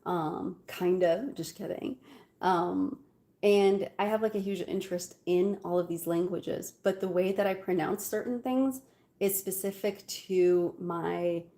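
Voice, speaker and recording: A woman's voice: lowish voice, steady tone, a little slow, mellow. Woman, Algerian Arabic accent, Arabic native speaker, casual kitchen chat, giggly, filler-heavy. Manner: chatty, giggly, many fillers. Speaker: cooking vlogger. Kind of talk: casual chat while baking. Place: home kitchen.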